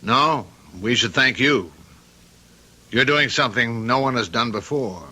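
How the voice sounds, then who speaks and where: An actor playing a steady, plain-spoken male police sergeant, measured and matter-of-fact, in a radio drama. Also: mid-range voice. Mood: earnest, matter-of-fact. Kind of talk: old-time radio drama acting.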